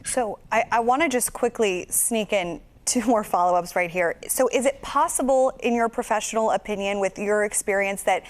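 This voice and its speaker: A woman's voice: mid-range voice, normal speed, few pauses. Conversational woman news anchor, mid-range voice. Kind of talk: live news interview.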